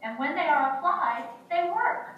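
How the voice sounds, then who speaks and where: A woman's voice: high voice, animated, moderate pace, lo-fi sound. A woman narrator reading a scripted story for a children's puppet show. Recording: very echoey room, distant mic, faint noise.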